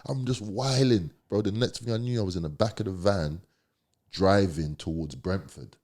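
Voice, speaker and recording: Male podcast guest, London accent, deep low voice, slangy and emphatic storytelling. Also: normal speed, a little mellow. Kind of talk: candid storytelling.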